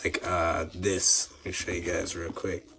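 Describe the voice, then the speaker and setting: A man casually reviewing a toy, his voice slightly hoarse after being sick, rambling with many fillers and restarts. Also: low-pitched, measured pace, slight background noise. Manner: relaxed, rambling, frequent filler words and restarts.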